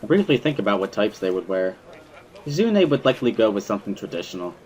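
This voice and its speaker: A man's voice: fairly low pitch, big pitch swings, fast talker, darkish tone. A man reading a visual novel as first-person dramatic narration, in an earnest, brooding storytelling manner. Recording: music behind the voice.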